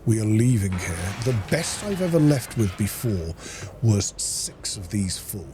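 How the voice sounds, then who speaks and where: A man's voice: lowish voice, big pitch swings, a little slow, over music. A man giving casual gameplay commentary as a let's-play host, muttering to himself as he plays.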